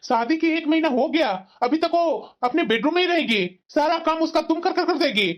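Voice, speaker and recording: A woman's voice: sits high, expressive, brisk. A woman voicing an exasperated, dutiful young husband in a family cartoon, reasoning and scolding in a reproachful way. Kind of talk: cartoon family drama dialogue. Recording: dull, muffled lo-fi sound.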